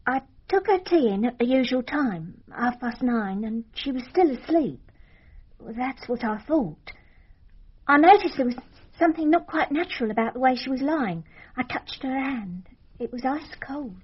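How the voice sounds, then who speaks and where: A respectful servant in a radio drama. Her high voice has a husky grain, and she recounts things plainly and deferentially. The recording is somewhat dull. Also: lively intonation, moderate pace. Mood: subdued, shaken. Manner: deferential, matter-of-fact recounting. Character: respectful, shaken female servant, plainly spoken.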